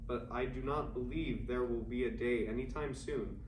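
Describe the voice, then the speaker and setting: A man playing a yearning 1920s writer of secret love letters in an earnest, measured staged play reading. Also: medium pitch, close to an everyday voice. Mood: tender, fearful. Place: indoor room at a staged reading. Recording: echoey room, distant mic, faint noise.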